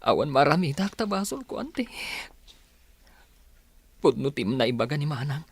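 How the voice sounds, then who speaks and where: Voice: medium pitch, very animated, moderate pace, breathy edge. A performer with a Filipino Ilocano accent playing a remorseful, newly orphaned younger brother in a radio drama, plaintive and pleading. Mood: dejected.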